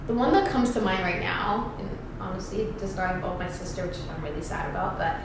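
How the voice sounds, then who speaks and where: A woman's voice: mid-range voice, brisk. A young woman from Ghana chatting casually and playfully, rambling with lots of fillers as she answers questions. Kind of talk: casual get-to-know-me chat. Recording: echoey room, distant mic, background noise.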